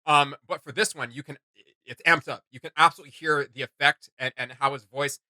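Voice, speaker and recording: A man hosting a reaction video with casual, halting commentary, often searching for words and repeating himself. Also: fairly high pitch, steady tone, sharp tone, no room sound.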